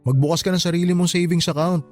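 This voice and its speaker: An actor playing a smooth, scheming lover with a casual, coaxing, teasing manner in a Tagalog radio drama. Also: male voice, high-pitched, steady tone, fast talker, a little mellow, faint music behind, natural voice. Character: smooth, scheming male lover, a tricycle driver.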